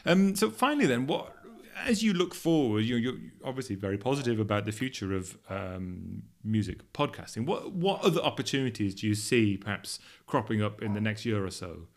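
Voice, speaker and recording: A man hosting a podcast conversationally, with halting phrasing as he gathers his thoughts. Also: on the low side, expressive. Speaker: podcast host, editor.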